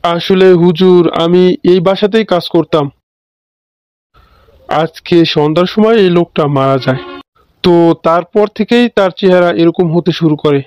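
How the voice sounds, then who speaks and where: A man's voice: high-pitched, moderate pace, a little mellow, clear, resonant tone, no echo, muffled recording, lightly acted. A man voicing a worried, deferential household servant in a cartoon ghost story, explaining himself. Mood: uneasy, deferential.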